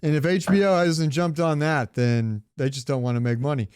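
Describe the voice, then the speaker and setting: A man's voice: on the high side, fairly even intonation, moderate pace, a little mellow, clear, resonant tone, no room sound, clean studio sound. A man co-hosting a podcast, chatting informally and with strong opinions about pop culture, swearing now and then. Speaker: podcast co-host. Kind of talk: casual pop-culture chat.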